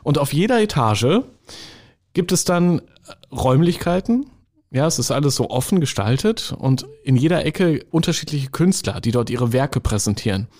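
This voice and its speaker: Male podcast host, middle pitch, chatty and enthusiastic. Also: very animated, normal speed, a little mellow. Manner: chatty, enthusiastic, frequent fillers. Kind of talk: casual podcast chat.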